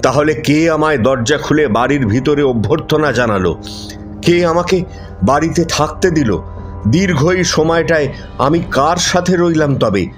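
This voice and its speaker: Man narrating horror story over music. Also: a little high. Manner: suspenseful, dramatic pauses. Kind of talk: dramatic first-person horror story narration.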